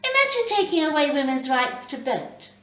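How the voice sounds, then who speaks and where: A woman's voice: high voice, big pitch swings, normal speed, fairly bright tone, pitched above the performer's own voice. A woman voicing a reasonable, slightly impatient female ghost guide from a short story, in a lecturing manner. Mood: reasoning. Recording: roomy, distant mic, faint background noise.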